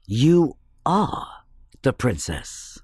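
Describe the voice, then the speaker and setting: A woman's voice: rumbling low, darkish tone, breathy edge, no room sound, clean studio sound, heavily acted. A woman playing a motherly good fairy aunt who is kind, careful and firm. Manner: soothing, then firm and careful. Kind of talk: audio drama acting.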